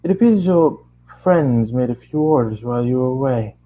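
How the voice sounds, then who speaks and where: A man's voice: medium pitch, lively intonation, moderate pace, warm tone, natural voice, low-quality recording. Man playing a polite, mild lobby attendant.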